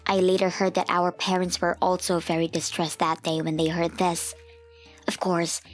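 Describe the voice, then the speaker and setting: A woman narrates a scripted story in the first person for a manga dub. Her voice is fairly low and husky, with background music running underneath.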